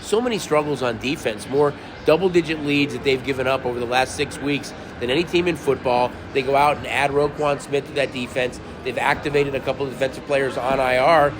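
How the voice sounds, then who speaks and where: A man's voice: mid-range voice, fairly quick, few pauses, background noise. A man co-hosting a sports betting podcast who is losing his voice, leading segments and reading out betting numbers. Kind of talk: casual sports betting talk.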